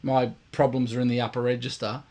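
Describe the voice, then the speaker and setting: Croaky, gravelly-voiced man teaching brass. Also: mid-range voice, level tone, fairly quick, darkish tone. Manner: emphatic, blunt, repeats points for stress. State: croaky, tired voice. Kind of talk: direct instructional talk to camera.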